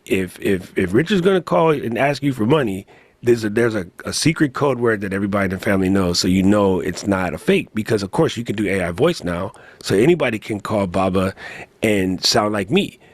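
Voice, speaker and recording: A man on a tech podcast panel, telling a story in an animated, slangy way. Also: lowish voice, no room sound. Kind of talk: casual chat.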